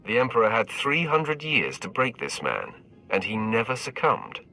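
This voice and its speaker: An actor playing a cold, merciless Sith enforcer in a video game, terse and self-assured. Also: male voice, medium pitch, sing-song, moderate pace, pushed and bright, soft background music, low-quality recording. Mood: cool. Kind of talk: dramatic video game acting.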